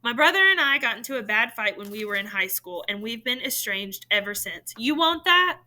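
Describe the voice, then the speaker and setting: Woman reading as a firm, bright-voiced basketball coach. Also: a little high, lively intonation, fairly quick. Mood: stern, urging. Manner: stern, urging pep talk. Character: firm, earnest male basketball coach lecturing a player.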